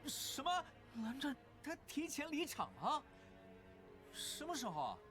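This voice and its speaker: Young animated character, teasing, high voice, extremely lively intonation, loud music. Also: male voice, measured pace, heavily acted. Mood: surprised. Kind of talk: animated drama dub acting. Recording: quiet show playback under loud music.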